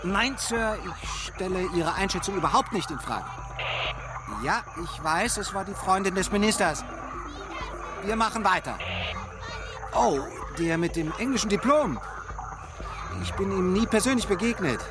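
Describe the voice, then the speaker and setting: An actress playing a dutiful, formal and placating police subordinate in a radio drama. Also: female voice, mid-range voice, lively intonation, unhurried, long pauses, over loud music, natural voice. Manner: formal, deferential.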